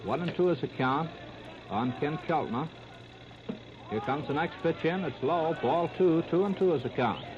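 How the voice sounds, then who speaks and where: A man's voice: fairly high pitch, moderate pace. An American man calling baseball play-by-play in clipped, terse phrases, in the style of 1930s live radio commentary. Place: ballpark broadcast. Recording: noisy, muffled 1930s radio disc recording.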